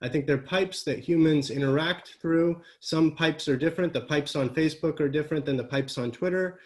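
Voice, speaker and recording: A man's voice: a little high, brisk. Male podcast host posing opinionated questions. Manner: curious, lays out his own opinion before asking. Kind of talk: casual interview questions.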